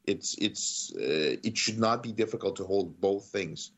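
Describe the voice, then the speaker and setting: A man from Ukraine, a native Russian speaker, talking fast and urgently as a guest in a news interview, with many restarts and fillers. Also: low voice, fairly bright tone, breathy edge. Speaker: journalist guest.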